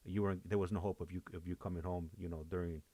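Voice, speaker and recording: A man's voice: sits low, level tone, fast talker, warm tone, husky, dry recording. A man hosting a live show, explaining things to listeners with plenty of fillers. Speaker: show host.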